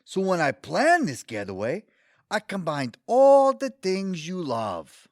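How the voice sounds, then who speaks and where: A man's voice: high-pitched, wildly swooping pitch, takes it slowly, dry recording, studio quality, full character voice. Man playing an eager, swooping Brooklyn sweetheart. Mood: cheerful, reassuring. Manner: enthusiastic, folksy, colloquial. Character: eager, sweet, older Brooklyn man wooing his date. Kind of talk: audio theatre comedy acting.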